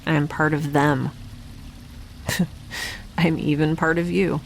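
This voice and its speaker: A woman playing a weary, wryly anxious young space scientist in a naturalistic audio drama, talking haltingly. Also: sits low, little pitch movement, creaky, a little hiss or hum, exaggerated voice, low-quality recording. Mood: dreamy, delirious. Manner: halting, sighing, wry.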